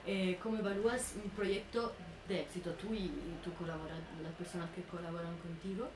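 A woman's voice: fairly low pitch, fairly even intonation, brisk. A woman in the audience asking a hesitant question, searching for her words. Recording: echoey room with clear background noise.

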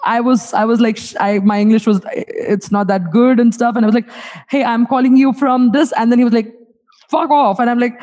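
A woman's voice: fairly high pitch, steady tone, a little fast, mellow. A woman with an Indian accent interviewing casually, rambling and repeating words as she works toward her questions.

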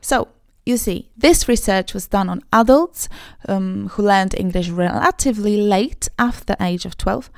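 Give me a Polish woman with a Polish accent hosting an English lesson podcast, medium pitch, in a dry, dead room. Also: normal speed, vocal fry, no room sound. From Poland. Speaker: English teacher, podcast host. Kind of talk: podcast lesson, talking to learners.

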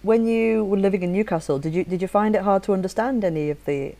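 A woman's voice: medium pitch, fast, warm tone. A woman in her forties from Leeds, with a faint, mostly neutral northern English accent, chatting animatedly as host and often restarting her sentences. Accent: soft northern English, mostly neutral. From Leeds, England. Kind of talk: casual chat.

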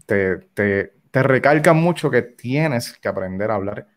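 A man's voice: mid-range voice, lively intonation, a little slow. A man with a Puerto Rican accent, an actor guest chatting casually with many fillers. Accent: Puerto Rican Spanish. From Puerto Rico. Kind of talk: casual chat.

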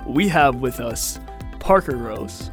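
A man's voice: medium pitch, animated, takes it slowly, over music. A young man hosting a casual podcast, upbeat and enthusiastic as he questions his guests. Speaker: podcast host.

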